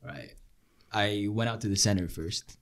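A young man from Italy in a casual podcast chat, joking and animated. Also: lowish voice. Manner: fast-talking, joking, animated.

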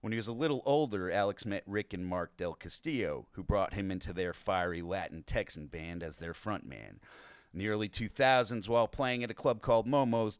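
A man's voice: on the low side, clear, resonant tone, no echo. A man hosting a podcast from a recording studio, reading an intro and chatting with enthusiasm, stumbling over words when unscripted. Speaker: podcast host. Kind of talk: scripted intro and casual interview.

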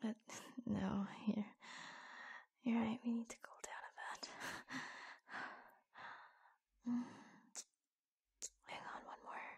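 Woman acting a breathy, slow-talking flirty office lover. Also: fairly high pitch, flat delivery, a little mellow, heavily acted. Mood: sultry, hushed. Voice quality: breathy, husky, often dropping to a whisper. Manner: slow, coy, teasing, giggly. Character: flirtatious, teasing adult woman in secret office romance. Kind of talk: ASMR roleplay, intimate romantic audio drama.

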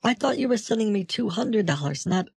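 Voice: mid-range voice, animated, fairly quick, gravelly, a little mellow, breathy edge, dry recording, studio quality, heavily acted. A performer voicing a flustered retired older woman on a scam call, panicky and repeating herself. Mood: exasperated. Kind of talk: prank call with a phone scammer.

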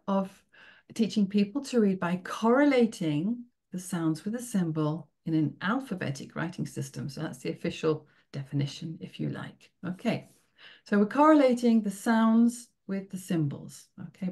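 A woman's voice: medium pitch, darkish tone. Encouraging British woman teacher. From United Kingdom. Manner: friendly, encouraging, checks in with "okay?" often. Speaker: teacher trainer giving a workshop. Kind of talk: online presentation to teachers. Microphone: video call.

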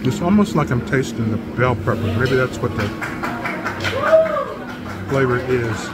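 A middle-aged man giving a casual food review, speaking haltingly and trailing off as he tastes. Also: medium pitch, expressive, heavy background noise. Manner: halting, trailing off while tasting. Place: indoor restaurant. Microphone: phone held close to face. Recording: loud music and restaurant crowd behind.

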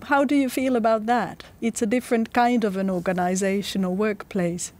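A middle-aged woman moderating a panel discussion in a measured way, reading out audience questions. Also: medium pitch, fairly even intonation, darkish tone.

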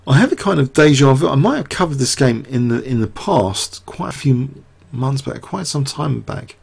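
Croaky man commentating on chess. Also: medium pitch, animated, normal speed. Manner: talks through moves, quizzes viewers. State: getting croaky. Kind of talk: live chess game commentary.

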